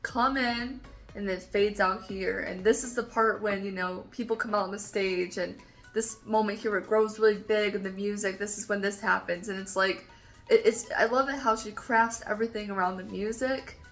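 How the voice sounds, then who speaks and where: Woman vlogger rambling excitedly, likes and ums everywhere, faint music behind. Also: medium pitch, normal speed. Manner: enthusiastic, rambling with many fillers. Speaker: vlogger and composer. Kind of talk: casual chat.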